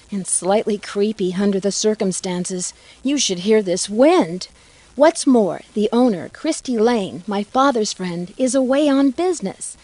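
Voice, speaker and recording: A woman voicing a plucky, curious young detective in a video game, with a clear, earnest storytelling delivery. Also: medium pitch, darkish tone, dry recording, low-quality recording. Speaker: game protagonist. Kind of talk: letter narration and game dialogue acting.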